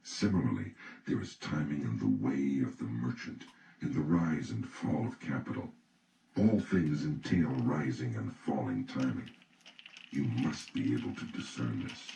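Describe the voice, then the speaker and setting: A man reading an audiobook from a script in a solemn, deliberate, instructional way. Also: rumbling low, expressive, vocal fry, over music. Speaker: audiobook reader. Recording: roomy, distant mic, faint noise.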